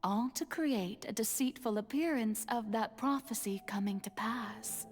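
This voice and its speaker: An actress playing a regal, theatrical young goddess in a video game, with formal, elegant diction. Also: female voice, on the high side, unhurried, husky. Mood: solemn, sorrowful. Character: theatrical goddess, regal, solemn yet grandiose young woman. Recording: game dialogue under loud music.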